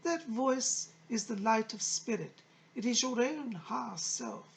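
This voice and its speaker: A gushing, genteel lady spirit played by a woman teaches with motherly affection. Her highish voice swoops theatrically up and down and drops into creaky tones as she delivers channelled wisdom. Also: lively intonation, unhurried, low-quality recording. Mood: earnest, encouraging. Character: Rose, effusive, motherly female spirit from the early 1900s.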